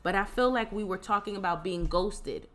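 A woman's voice: medium pitch, normal speed. A woman in her thirties hosting a podcast, sounding congested and talking in a chatty, laid-back way. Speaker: podcast host. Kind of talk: casual podcast chat.

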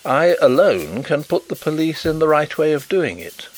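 A man voicing a good-humoured, self-assured gentleman detective who speaks in measured, courteous terms. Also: on the high side, big pitch swings, faint music behind, pitched above the performer's own voice, poor audio.

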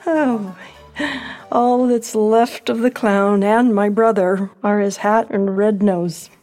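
A woman acting in an audio drama as a frail, fearful elderly widow, speaking in a hesitant, gentle, wistful way. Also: mid-range voice, fairly even intonation, slow, faint music behind, close to an everyday voice.

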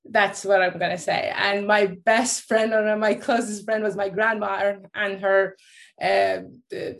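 A woman's voice: mid-range voice, little pitch movement, unhurried, vocal fry. A woman in her late thirties, originally from Iran, telling her personal story as a guest with many restarts and fillers. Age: late 30s. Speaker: guest, life coach.

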